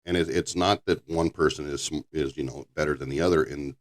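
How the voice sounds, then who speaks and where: An older man with a very low voice, hosting a casual podcast chat, rambling and halting with frequent restarts. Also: steady tone. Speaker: podcast host.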